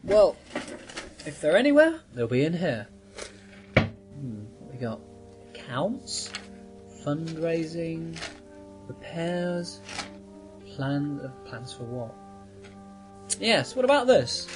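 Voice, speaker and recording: A performer playing a flustered, sceptical local helper in a sci-fi radio drama, hesitant and expressive. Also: medium pitch, slow, pauses often. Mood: searching. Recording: music under the voice.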